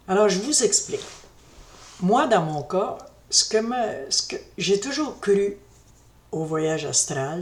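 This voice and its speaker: A middle-aged woman tells a personal story in a vlog. Her voice sits fairly low, and she speaks very slowly. She thinks aloud as she goes, with frequent fillers and restarted phrases. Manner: slow, reflective, hesitant, with many fillers and restarts. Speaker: spiritual vlogger.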